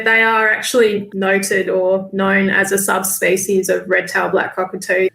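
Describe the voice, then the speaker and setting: Casting: Australian woman, mid-pitched, casually explaining as an interview guest, recorded in a reverberant room. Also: fairly bright tone. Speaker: volunteer bird count coordinator. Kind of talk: casual interview answers on a livestream. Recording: roomy, echoey sound.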